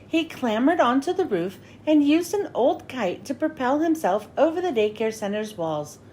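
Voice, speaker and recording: A woman narrating a children's storybook as a read-aloud host, with expressive, clearly phrased delivery. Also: high voice, normal speed, a little hiss or hum.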